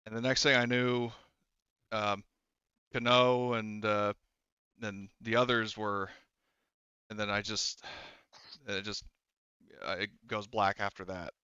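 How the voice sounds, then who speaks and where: Shaken woman soldier, played by a male game master: halting, subdued, apologetic, low-set and clean-toned. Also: little pitch movement, sharp tone, clear, resonant tone, dry recording, highs cut off. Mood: shaken, confused. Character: shaken, apologetic female corporal recovering from infection.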